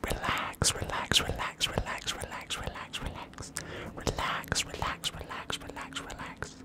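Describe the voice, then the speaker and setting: Young man whispering shady ASMR roleplay. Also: unhurried. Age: young adult. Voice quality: breathy whisper. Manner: hushed, furtive, repeats words over and over. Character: shady, secretive underground dealer of tingles. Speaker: ASMR performer. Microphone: close studio microphone at the mouth.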